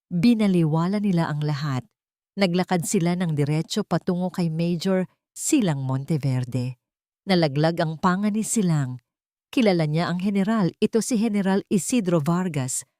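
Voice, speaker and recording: A woman's voice: on the low side, a little mellow, no room sound, studio quality. A Filipino woman narrating a scripted story in a dramatic storytelling style.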